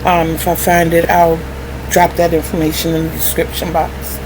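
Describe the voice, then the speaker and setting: A middle-aged woman telling personal stories candidly to viewers in a wry, animated manner, acting out remembered conversations. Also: on the low side, normal speed. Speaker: vlogger. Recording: clearly noisy background hum.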